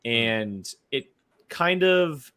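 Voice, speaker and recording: A man co-hosting a talk show, chatting casually in a halting way with repeated phrases. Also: mid-range voice, animated, sharp tone, clean tone, no room sound. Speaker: co-host of a movie talk show. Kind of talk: casual chat.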